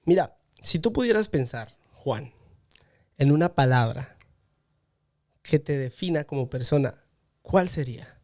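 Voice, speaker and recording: A man's voice: on the high side, lively intonation, measured pace, pauses often, darkish tone. A man hosting a podcast, interviewing a guest in a conversational style. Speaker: podcast host.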